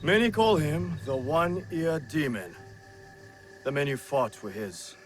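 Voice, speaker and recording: An actor playing a proud, bitter, disowned rebel warrior in a video game drama, brooding and theatrical. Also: male voice, on the high side, lively intonation, measured pace, faint music behind.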